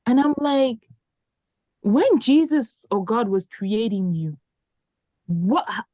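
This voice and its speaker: A woman's voice: on the high side, takes it slowly, dark tone, no room sound, studio quality. A woman co-hosting a podcast, chatting animatedly and stumbling through "like" and restarts. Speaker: podcast co-host. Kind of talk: casual chat.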